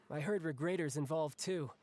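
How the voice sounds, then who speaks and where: An actor playing a cocky, playful young warrior in a video game, with a casual, teasing manner. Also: male voice, fairly high pitch, unhurried, a little mellow, dry recording. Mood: playful, eager. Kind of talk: scripted video game voice acting. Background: game music.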